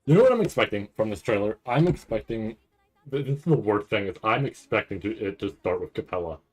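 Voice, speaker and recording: A man's voice: lowish voice, sing-song, a little fast, husky, rough recording. A man streaming in a casual, rambling chat, thinking aloud in fragments and often tagging his points with a questioning check. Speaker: streamer hosting a reaction stream.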